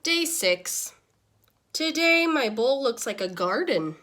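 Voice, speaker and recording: Casting: female reader playing a grumpy little storybook goldfish, slow and dramatic delivery, slightly high pitch. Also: full character voice. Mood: wry, disgusted. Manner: deliberate, dramatic, expressive. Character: small grumpy, easily exasperated pet goldfish narrating a diary. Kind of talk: expressive children's picture-book read-aloud.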